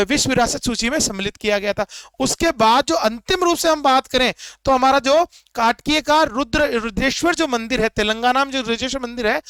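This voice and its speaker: Man, very high voice, smooth, dry close sound, exam teacher, repetitive explaining. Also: a bit bright, no echo. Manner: repetitive, explanatory, rhetorical questions.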